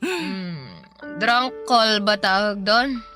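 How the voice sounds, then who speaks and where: A woman's voice: mid-range voice, lively intonation, very slow, pushed and bright, soft background music, exaggerated voice. A woman playing a drunk, clingy, heartbroken young woman in a Filipino radio drama, with a Filipino accent. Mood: tipsy, playful.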